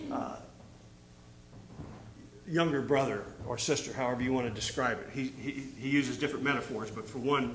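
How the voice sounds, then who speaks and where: A man's voice: medium pitch, a bit bright. A middle-aged man preaching a sermon from a pulpit, reading scripture aloud and building in fervor. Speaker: pastor. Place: church stage at a wooden pulpit. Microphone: pulpit microphone. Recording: roomy church hall sound.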